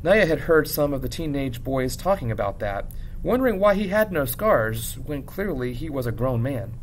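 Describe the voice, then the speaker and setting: A man reading a children's novel aloud like a teacher narrating to students, with low rumble behind him. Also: big pitch swings, moderate pace. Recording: clear background rumble noise.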